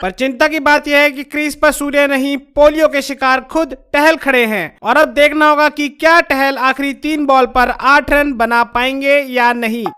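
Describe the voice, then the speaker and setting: A man giving excitable, mock-dramatic cricket commentary for a comedy cartoon. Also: very high-pitched, normal speed, hardly any pauses. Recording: clearly noisy.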